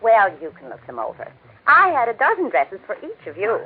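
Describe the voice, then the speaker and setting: A woman's voice: medium pitch, huge pitch swings, brisk. An actress playing a fussy, old-fashioned middle-aged mother and grandmother-to-be in a 1940s radio drama. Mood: fussing. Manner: chatty, fussing, reminiscing. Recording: tinny, muffled 1940s radio recording.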